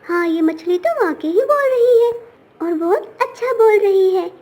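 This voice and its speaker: A young cartoon boy bird, played by a woman, high and lively in pitch. He comes across as a caring big brother. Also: a little slow. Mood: amazed.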